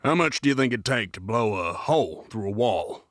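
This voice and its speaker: A man's voice: on the low side, lively intonation, moderate pace, no room sound, natural voice. A man playing a reluctant, matter-of-fact Western outlaw in an audio drama, terse and dry.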